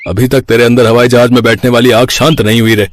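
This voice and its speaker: A man's voice: mid-range voice, level tone, fast talker, slight background noise, lightly acted. A man voicing an easygoing young village friend in a cartoon story, colloquial and given to exclaiming. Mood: amazed, curious.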